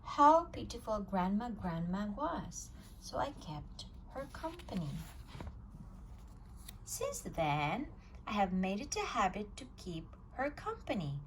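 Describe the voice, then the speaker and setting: A woman reading a children's picture book aloud at storytime, telling it with an expressive, swinging voice. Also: medium pitch, slow pace, slight background noise, poor audio. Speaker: storytime reader.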